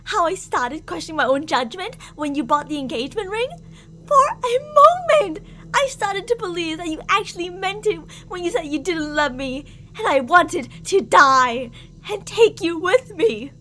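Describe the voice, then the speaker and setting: A very high, girlish-pitched female stalker, madly jealous and possessive in an ASMR roleplay. Her delivery swings widely and theatrically between sweet coaxing and murderous menace. There is some audible noise behind the voice. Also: big pitch swings, normal speed, fairly bright tone, full character voice. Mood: anguished, aggrieved. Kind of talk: ASMR girlfriend roleplay acting.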